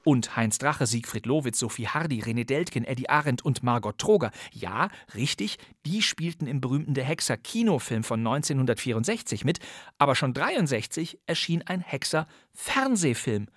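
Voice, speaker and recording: A man's voice: medium pitch, big pitch swings, moderate pace, a bit bright, slightly rough, dry recording, studio quality. A middle-aged man hosting a podcast, narrating the history of old radio dramas with enthusiasm and expert knowledge.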